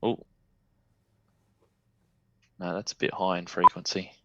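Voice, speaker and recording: An Australian man on a video call, casually showing off his radio station as he works the software. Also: low voice, takes it slowly, no room sound, muffled recording. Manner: relaxed, talks while operating radio software.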